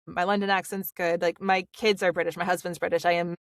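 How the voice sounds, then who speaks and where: An American woman from the Pacific Northwest talks animatedly as a podcast guest, her voice sitting a little low. She is chatty and quick to add fillers. The recording is close and dry. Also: no echo. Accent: General American, Pacific Northwest. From Pacific Northwest, USA. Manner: animated and talkative, many fillers. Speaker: audiobook narrator guest. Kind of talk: podcast interview chat.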